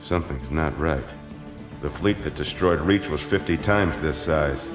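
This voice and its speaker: A deep, authoritative older navy commander in a game cutscene. He speaks formally and deliberately, in a roomy space with orchestral score behind him. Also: music in the background. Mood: urgent, commanding. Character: grave, authoritative older navy fleet admiral. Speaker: military commander giving orders. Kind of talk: dramatic game cutscene acting.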